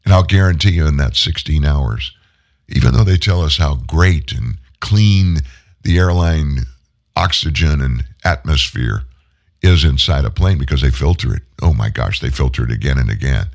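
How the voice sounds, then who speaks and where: A man with a deep, hoarse voice, unwell after a long flight, hosting a political talk show in a folksy, rambling, opinionated way. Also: expressive, normal speed.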